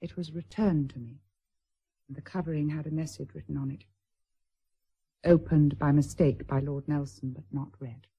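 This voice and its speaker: Husky, mellow British noblewoman, proud and wounded. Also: low-pitched, fairly even intonation. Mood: guarded, earnest. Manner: formal, measured, hesitant. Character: proud, wounded, nervous estranged wife, an aristocratic aunt. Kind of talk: period radio drama acting.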